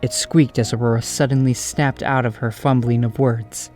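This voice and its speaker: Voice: mid-range voice, fairly even intonation, moderate pace, darkish tone. A narrator reading scripted storybook prose for an audio drama, over music.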